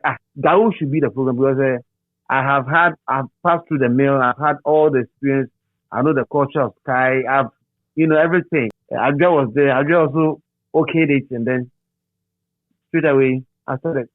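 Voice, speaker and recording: A middle-aged Ghanaian man reminiscing as an interview guest in a halting, repetitive, animated storytelling manner. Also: medium pitch, no room sound. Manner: halting, repeats words and phrases, animated storyteller. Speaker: interview guest, radio DJ and presenter. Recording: thin phone-like sound.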